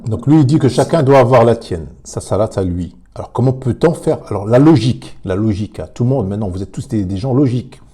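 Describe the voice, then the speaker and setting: A man commenting on a religious debate, emphatic but halting, with many repeated words and fillers. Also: mid-range voice, animated, mellow, slightly rough. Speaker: commentator reacting to a clip.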